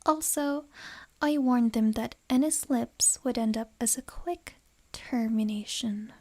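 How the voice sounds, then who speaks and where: An actress playing a wealthy, possessive noble lady in an ASMR roleplay, speaking softly and breathily with refined, measured delivery and menace under a gentle facade. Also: a little high. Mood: composed. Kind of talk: ASMR romantic roleplay acting.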